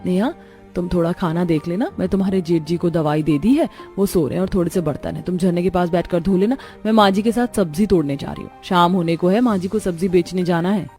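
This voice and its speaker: A woman's voice: fairly low pitch, a little fast, dark tone, faint music behind, close to an everyday voice. A woman voicing a dutiful, kind elder daughter-in-law in a family story, polite and considerate. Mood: dutiful, caring.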